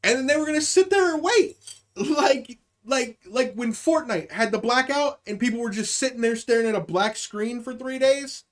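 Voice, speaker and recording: Casting: casual streamer comedian, mid-pitched, improvising slangy, profane stories and acting out the characters. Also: normal speed. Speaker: live stream comedy host. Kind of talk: casual improvised comedy storytelling to a live chat.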